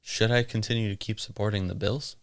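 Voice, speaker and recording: A man's voice: fairly low pitch, steady tone, a little fast, clean tone. A man co-hosting a podcast, throwing in wry, sarcastic quips during casual banter. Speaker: podcast co-host.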